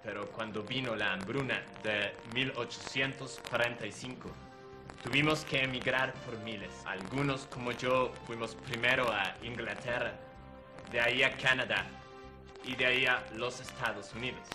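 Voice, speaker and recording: A man playing a proud Irish deserter captain, recounting his past in a measured, earnest way. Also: medium pitch, natural voice. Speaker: guest in character. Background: music underneath.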